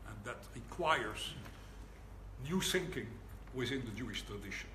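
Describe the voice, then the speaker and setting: Older dutch guy giving a talk, wry and slow, echoey room. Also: medium pitch, lively intonation, pushed and bright. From Netherlands. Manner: wry, deliberate, pauses for laughs. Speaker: rabbi giving a lecture. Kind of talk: public lecture. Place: lecture hall with an audience. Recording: echoey hall, audible room noise.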